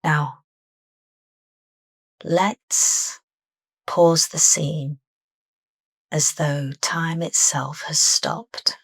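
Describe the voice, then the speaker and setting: Female meditation narrator: low register, soft and deliberate, dry studio-like sound. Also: fairly even intonation, no room sound. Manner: soft, unhurried, deliberate phrasing. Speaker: meditation guide.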